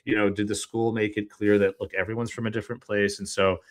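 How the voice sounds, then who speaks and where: A man's voice: fairly low pitch, flat delivery, fast. A man hosting an interview podcast, asking long, winding questions with real curiosity. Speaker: podcast host.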